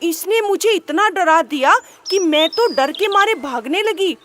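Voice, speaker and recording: A woman voicing a cunning female fox in a storybook, asking quick, prying questions. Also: extremely high pitch, lively intonation, a bit bright, slight background noise, pitched above the performer's own voice, full character voice. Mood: meek.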